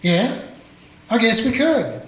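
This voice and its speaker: A man's voice: extremely high pitch, very animated, slow, fairly bright tone, exaggerated voice. A man playing a folksy frontier cowboy. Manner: plain-spoken, folksy, takes charge. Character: steady frontier cowboy man who leads the chase. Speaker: narrator and lead character. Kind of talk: community radio play acting. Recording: echoey room with guitar music.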